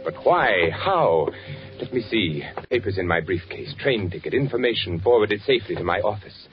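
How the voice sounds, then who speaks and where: An actor playing an agitated, trapped undercover architect, narrating intensely in a 1940s radio thriller. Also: male voice, on the low side, sing-song, normal speed, music in the background. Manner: brooding, intense first-person confiding with dramatic repetition. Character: agitated, trapped male architect working undercover in Nazi Germany. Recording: muffled vintage 1940s radio recording.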